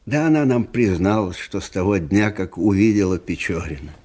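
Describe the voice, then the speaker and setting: An actor playing a kindly, sentimental older army captain telling a story in a folksy, hesitant way in a radio play. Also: male voice, deep voice, normal speed, a little mellow. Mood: wistful. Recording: radio play with faint music.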